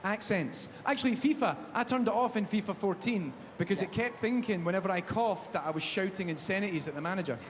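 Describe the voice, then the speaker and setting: A fairly high-voiced man with a Glasgow accent hosts a live panel chat, telling stories with jokey energy. His voice rings through a large echoey hall, with a faint hum of noise underneath. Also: quick pace, hardly any pauses. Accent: Glaswegian Scottish. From Scotland. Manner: jokey, animated storytelling. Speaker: panel host. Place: large theatre stage.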